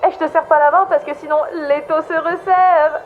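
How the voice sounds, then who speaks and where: A woman's voice: high-pitched, fairly even intonation, fairly quick, slight background noise. A woman playing a cheeky, bubbly young friend over a phone line in an audio comedy. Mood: playful. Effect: phone filter. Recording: tinny phone line with music.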